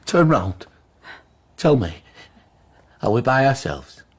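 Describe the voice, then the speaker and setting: An actor playing a haunted, obsessed, grieving master in a radio drama, intense and brooding, with a hoarse, breathy voice. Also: male voice, medium pitch, very animated, slow pace. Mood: haunted, anguished.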